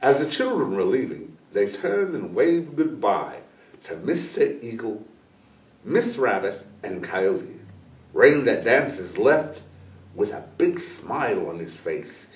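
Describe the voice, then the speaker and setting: A man reading a children's story aloud as a guest storyteller, deliberate and expressive. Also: on the low side. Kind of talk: scripted children's story narration read aloud. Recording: roomy echo, distant microphone.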